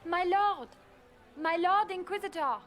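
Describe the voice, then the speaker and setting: A woman playing an eager, deferential lady-in-waiting in a fantasy game, speaking with formal flattery. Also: extremely high pitch, unhurried.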